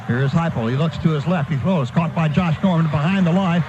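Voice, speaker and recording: High-pitched man calling live football on radio. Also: a little fast, lo-fi sound. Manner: clipped running descriptions in short phrases. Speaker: radio play-by-play announcer. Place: stadium broadcast booth. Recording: noisy live stadium radio broadcast.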